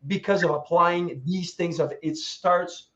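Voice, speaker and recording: A man's voice: sits high, a little slow. A man in his sixties telling motivational stories as a guest speaker. He talks with energy, laughs as he goes and acts out the people he quotes. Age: 60s. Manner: animated, laughing asides, acts out quoted voices. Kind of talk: motivational storytelling. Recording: dull lo-fi sound.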